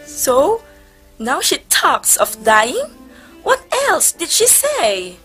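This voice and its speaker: A woman's voice: high voice, wildly swooping pitch, extremely slow, fairly bright tone, soft background music, full character voice. A woman playing a haughty, suspicious nun in a stage play, with scornful and emphatic delivery. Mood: suspicious, disapproving. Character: haughty, suspicious nun sneering at Teresa's arrogance. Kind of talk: amateur stage play acting.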